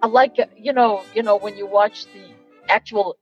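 An older woman with a Boston accent, calling in by phone from her car, talking in a halting, giggly way. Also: mid-range voice, fairly even intonation. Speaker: host's mother, phone-in caller. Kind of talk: casual phone call. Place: in a car, driving. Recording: muffled phone line with faint music.

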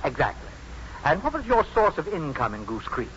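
Pompous accusing professor, higher-pitched. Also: male voice, wildly swooping pitch, fairly quick, a bit bright. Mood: prosecutorial. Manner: pompous, formal, pressing questioner. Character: stern accusing male medical professor, pompous and prosecutorial. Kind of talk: 1950s radio drama acting. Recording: dull noisy 1950s radio recording.

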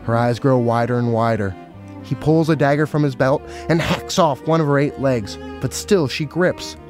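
A man's voice: medium pitch, normal speed, darkish tone. A man reading a scripted story as a narrator. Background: violin music.

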